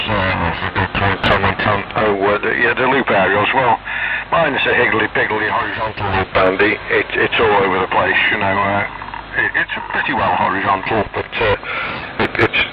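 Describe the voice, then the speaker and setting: Low-voiced man on noisy shortwave radio. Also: fairly even intonation, moderate pace, highs cut off. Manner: rambling, hesitant, chatty. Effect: shortwave radio transmission. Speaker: amateur radio operator. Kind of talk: casual ham radio chat. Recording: very noisy, band-limited shortwave radio signal.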